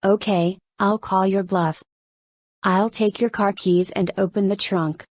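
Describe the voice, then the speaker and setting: A woman's voice: mid-range voice, steady tone, a little slow, dry recording, clean studio sound, close to an everyday voice. A woman acting in an audio play as a skeptical, exasperated girlfriend who talks in a plain, challenging way. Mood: annoyed, skeptical.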